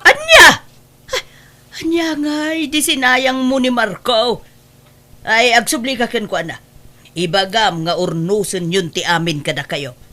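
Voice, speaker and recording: A woman playing an excitable, exclamatory middle-aged mother and market vendor in a comedy radio-style drama. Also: on the high side, measured pace. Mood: exasperated.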